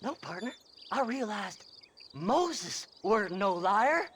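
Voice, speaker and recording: A man's voice: high-pitched, sing-song, slow, a bit bright, a little hiss or hum, heavily acted. An actor playing a twitchy, unhinged treasure-hunting grave robber in a Western game, with a rural American accent and rambling, stammering speech. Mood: excited, creepy. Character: twitchy, unhinged, cheerful male grave-robbing treasure hunter.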